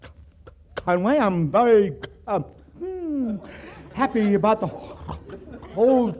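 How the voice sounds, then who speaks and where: An actor playing a nervous young graduate in a 1940s radio comedy, halting and stammering as he talks. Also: sits high, very animated, a little mellow, exaggerated voice. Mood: flustered. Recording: muffled vintage radio recording.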